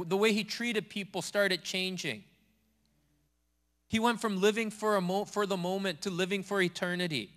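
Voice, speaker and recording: High-voiced man preaching in a reverberant hall. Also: fairly bright tone. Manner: earnest, repetitive preaching cadence. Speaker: pastor. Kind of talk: sermon. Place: church sanctuary.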